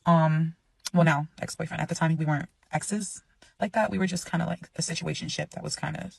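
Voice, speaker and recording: A woman's voice: sits low, fairly even intonation, brisk, creaky, breathy edge, no room sound, far from the mic. A woman in her twenties telling a personal story in a casual, chatty way, with digressions and explanations. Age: 20s.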